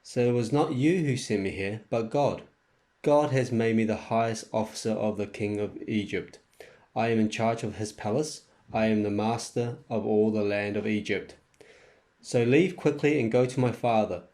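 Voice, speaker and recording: A man's voice: fairly low pitch, normal speed, a little mellow, close to an everyday voice. A man playing a powerful governor and forgiving brother, declaiming earnestly in an amateur scripture drama. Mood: earnest, reassuring.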